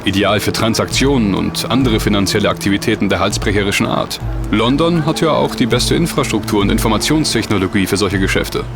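A man's voice: lowish voice, a little fast, music in the background, lightly acted. A man playing a lecturing, sceptical traveller. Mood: explaining. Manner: explains facts at length, distrusts the rich. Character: knowledgeable, sceptical young man on a trip.

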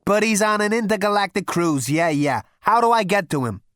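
Lady voicing a pushy cocky spaceman dude, loud and brash, dry mic. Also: mid-range voice, animated, brisk, dry recording, voice pushed up, close to an everyday voice. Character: brash, swaggering hipster male space adventurer.

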